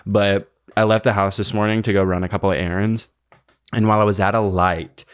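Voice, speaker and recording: A man's voice: fairly low pitch, fairly even intonation, fairly quick, clear, resonant tone. A man co-hosting a podcast, talking in an informal, slangy, conversational way. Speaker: podcast co-host. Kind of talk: casual chat and ad reads.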